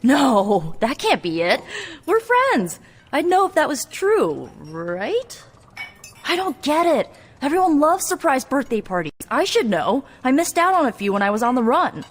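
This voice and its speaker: A perky young game heroine, high and very lively. She talks with eager, earnest optimism. Her voice rings in a big reverberant hall with music behind it. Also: measured pace, slight background noise. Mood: anxious, insistent. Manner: eager, chatty, insistent optimism. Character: perky, earnest young female superhero planning a party. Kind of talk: video game cutscene acting.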